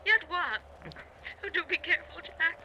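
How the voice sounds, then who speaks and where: An actress playing a fearful, pleading wife with urgent, emphatic delivery in a 1940s radio melodrama. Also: female voice, very high-pitched, expressive, moderate pace, sharp tone, full character voice. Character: panicked wife pleading desperately, insistent and fearful. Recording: muffled noisy old radio recording.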